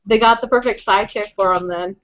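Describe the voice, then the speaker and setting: A woman's voice: mid-range voice, fairly quick, a little hiss or hum. A woman co-hosting a casual gaming podcast, rambling and self-deprecating. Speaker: podcast co-host. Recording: slightly muffled home recording.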